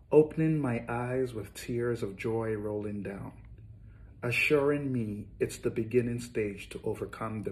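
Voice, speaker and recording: A man's voice: mid-range voice, a little mellow. A man, an author and poet, introducing and reading his own work.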